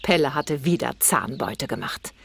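A woman's voice: very deep voice, expressive, unhurried, gravelly, husky. A woman reading a children's story as scripted narration, expressively acting out the dialogue.

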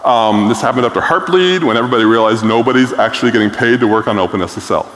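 A man's voice: mid-range voice, quick pace, a bit bright. A middle-aged man giving a conference keynote on stage, blunt and enthusiastic, with frequent filler words. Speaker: keynote speaker. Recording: echoey hall reverb.

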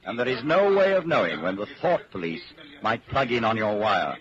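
An actor playing a grave narrator of a bleak dystopian tale, with dramatic, deliberate delivery in 1940s radio style. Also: male voice, medium pitch, big pitch swings, close to an everyday voice. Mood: grave, ominous. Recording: muffled, noisy 1940s radio broadcast.

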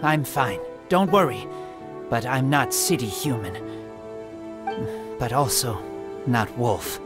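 Halting, earnest wild boy. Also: medium pitch, expressive, over loud music. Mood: subdued, sad. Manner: halting broken speech, terse, earnest. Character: earnest teenage wild boy raised by wolves. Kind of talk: video game voice acting.

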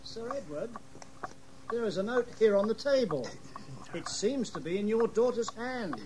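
An actor playing a formal, deferential yet disapproving manservant in an audio drama. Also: male voice, high-pitched, lively intonation, moderate pace, background noise. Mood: deferential, nervous. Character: respectful, disapproving manservant of a gentleman's household.

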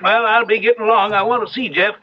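High-voiced, folksy older country neighbour acted by a man. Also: fairly even intonation, fast talker, close to an everyday voice. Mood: neighbourly. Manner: folksy, hesitant. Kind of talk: 1970s radio drama acting. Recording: dull, noisy old radio recording.

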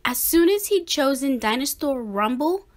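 A woman reading a children's picture book aloud in a deliberate, expressive manner. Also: high voice. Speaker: storybook reader.

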